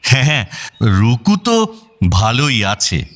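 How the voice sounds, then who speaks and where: An actor playing a chatty, excitable in-law in a radio drama, eager and exclamatory. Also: male voice, mid-range voice, unhurried, heavy background noise. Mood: chatty, solicitous.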